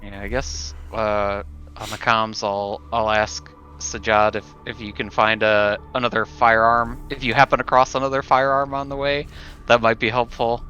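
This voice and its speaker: A man's voice: fairly low pitch, little pitch movement, moderate pace, sharp tone. A man playing a tabletop roleplaying game, talking casually in a tentative, hedging way. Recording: dull, faintly noisy room recording.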